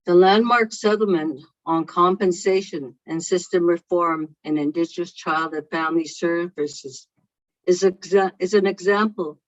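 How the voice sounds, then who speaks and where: A keynote speaker for whom English is a second language, reading a prepared speech in a slow, deliberate way over a video call. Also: medium pitch, no echo. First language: not English. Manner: slow, measured and deliberate delivery. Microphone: video call microphone. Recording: dull lo-fi online call audio.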